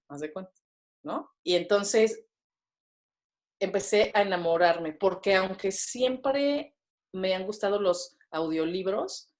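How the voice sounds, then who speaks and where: A woman's voice: mid-range voice, darkish tone. Chatty Mexican woman guest. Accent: Mexican Spanish. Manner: chatty, full of fillers and tag questions. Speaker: podcast guest. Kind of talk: casual interview conversation.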